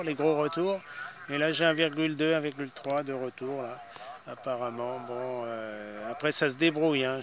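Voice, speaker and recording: A man's voice: a little high, sharp tone, a little hiss or hum. An older man speaking as an amateur radio operator outdoors, casually mixing chat with spelled-out call signs. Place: outdoors in a city park.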